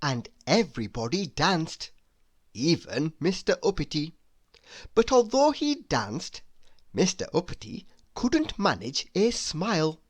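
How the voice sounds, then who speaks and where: Woman storyteller, lowish pitch, very varied intonation, slow deliberate children's read-aloud, dry close sound. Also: very animated, dry recording. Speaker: storybook narrator.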